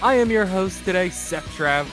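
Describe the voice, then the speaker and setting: A man's voice: sits high, lively intonation, normal speed, over music. A man hosting a game review podcast, his voice congested, scratchy and nasal from allergies, talking in a showy announcer style. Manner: showy announcer patter, keeps saying "ladies and gentlemen". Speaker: podcast host.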